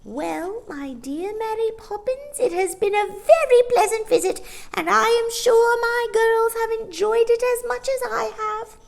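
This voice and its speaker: A woman voicing a tiny, bossy old shopkeeper woman in a storybook, with a shrill, squeaky, cackling voice that swings between scolding and cooing. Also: a little slow, vocal fry, full character voice. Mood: sly, pleased.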